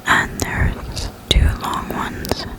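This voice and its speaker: Whispered voice, breathy, slow, gentle ASMR reading, close microphone, soothing. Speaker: host of an ASMR reading channel. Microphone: close desktop condenser microphone.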